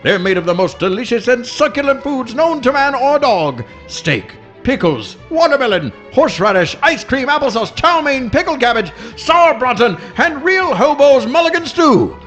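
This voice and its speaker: Man as high, animated radio announcer. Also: moderate pace, few pauses, soft background music, full character voice. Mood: showy, salesmanlike. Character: excitable radio announcer reading mock sponsor spot. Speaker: announcer and narrator. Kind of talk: mock old-time radio announcing.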